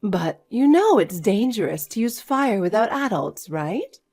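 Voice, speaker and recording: A woman voicing a caring but firm older grandmother, earnest and measured, in an acted family story. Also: mid-range voice, very animated, warm tone, soft background music. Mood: concerned, questioning.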